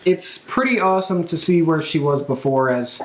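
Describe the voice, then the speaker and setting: An enthusiastic podcast host in casual panel chat about pro wrestling. The pitch moves around a lot as they talk, and the room gives the voice an audible echo. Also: lively intonation, normal speed, a little hiss or hum. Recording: roomy, echoing room sound.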